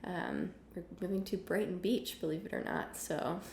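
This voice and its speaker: A young woman teaching a casual music lesson at home, hoarse from illness, chatty with many ums and the odd cough. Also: on the low side, fairly even intonation, normal speed, a little mellow, reverberant, a little hiss or hum. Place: home room with piano and guitars.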